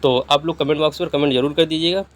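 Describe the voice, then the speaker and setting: A man's voice: on the high side, steady tone, very fast. A man giving a casual, instructive explainer to online viewers, often checking that they follow with short tag questions. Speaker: YouTube exam-guidance creator.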